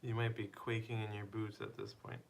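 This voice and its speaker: A man's voice: fairly low pitch, little pitch movement, normal speed, vocal fry, slight background noise. A young man casually chatting on a live stream, playfully teasing and asking viewers questions.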